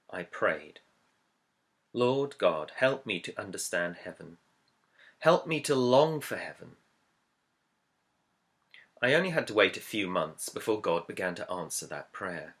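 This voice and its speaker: A man, an author, reading his own book introduction as scripted narration in a measured, earnest, reflective way. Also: on the low side, fairly bright tone. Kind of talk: scripted audiobook-style narration.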